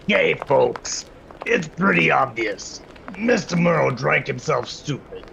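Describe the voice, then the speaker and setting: A man's voice: a little high, animated, unhurried, vocal fry, pushed and bright, a little hiss or hum, heavily acted, rough recording. A man playing a dry, organized tour manager in 1940s-style radio comedy. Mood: businesslike.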